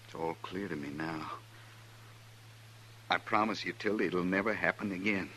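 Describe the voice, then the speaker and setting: An American actor playing a jealous, hot-headed frontier husband with plain rustic speech in a 1950s radio western. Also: male voice, deep voice, normal speed, bright, forceful tone. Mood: ashamed. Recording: noisy, humming old radio recording.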